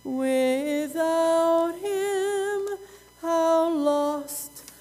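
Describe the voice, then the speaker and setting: High, slow-singing woman with a raspy voice. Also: steady tone, warm tone, soft background music. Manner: sustained, drawn-out sung phrases. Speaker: gospel singer. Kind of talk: slow solo hymn singing.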